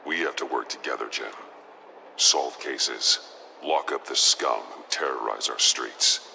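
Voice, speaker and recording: A man playing a grim, menacing vigilante in a video game, speaking in a gravelly growl, terse and stern. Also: extremely low pitch, a little slow, sharp tone, slight background noise, exaggerated voice. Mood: resolute. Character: grim, menacing masked male vigilante, righteous and accusing. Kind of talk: dramatic video game acting.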